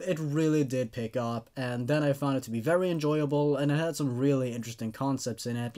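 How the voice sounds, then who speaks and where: Animated male host with a halting delivery. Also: medium pitch. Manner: halting, stammering, repeats words. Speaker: host of a horror story review show. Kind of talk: casual spoken review to viewers.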